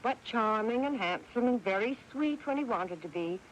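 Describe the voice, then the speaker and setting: An American woman playing a doting, indulgent mother of grown sons in a radio drama, coaxing and gently insistent, on a hissy 1980s AM recording. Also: fairly high pitch, expressive, normal speed, close to an everyday voice, rough recording. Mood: fond coaxing. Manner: coaxing, excusing.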